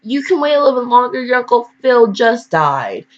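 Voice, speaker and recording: A woman's voice: a little high, very animated, normal speed. A woman casually reading fan fiction aloud with slangy, blunt, digressive commentary and mock scolding. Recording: dull, lo-fi home recording.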